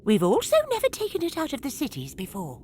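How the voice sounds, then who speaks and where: A woman playing a feisty, sarcastic rodent-folk trader in a brisk audio drama performance. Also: on the high side, huge pitch swings, darkish tone, slight background noise. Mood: wry.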